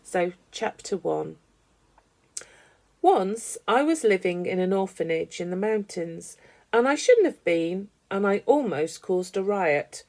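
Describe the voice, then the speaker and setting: A woman reading a children's story aloud with animated, dramatic emphasis. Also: mid-range voice, slow pace. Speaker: storybook reader.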